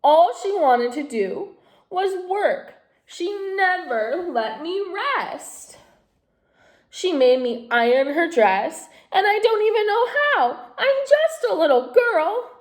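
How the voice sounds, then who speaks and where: A gentle little girl speaks in a tired, pleading voice, as a woman acts her out. Her voice is high and lively and moves a lot. The room adds a clear echo. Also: slow pace. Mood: plaintive. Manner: pleading and plaintive. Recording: echoey room.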